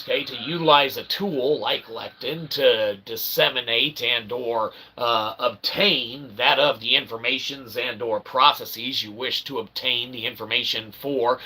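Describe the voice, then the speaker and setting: A man's voice: medium pitch, pushed and bright, breathy edge, rough recording. A man giving a rambling comedic monologue as a vlogger, with convoluted, mock-intellectual phrasing and frequent tags like okay and eh. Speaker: vlogger, self-published author.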